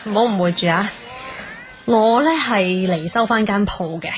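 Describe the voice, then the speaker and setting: A woman's voice: mid-range voice, a little slow, natural voice. An actress with a Hong Kong Cantonese accent playing a cool, blunt and insistent young woman in a radio drama. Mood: cool, assertive. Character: assertive, cool young woman, new landlady back from abroad. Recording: music behind the voice.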